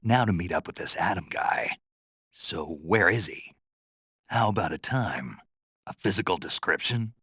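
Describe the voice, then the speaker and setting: A man playing a gruff, terse American soldier on a covert mission in a video game, with a low, gravelly, husky voice. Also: lively intonation, sharp tone, no room sound, exaggerated voice. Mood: wary, questioning. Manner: terse, deliberate, dry. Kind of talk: dramatic game voice acting.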